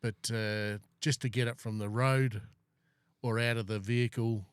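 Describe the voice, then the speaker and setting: A middle-aged man talks in easy, rambling stretches, leaning on fillers as he chats. His voice sits on the low side. The sound is dry and close, with no room around it. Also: fairly even intonation, a little slow, smooth, no room sound. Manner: rambling, filler-heavy, Aussie-casual. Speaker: podcast host. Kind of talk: casual chat.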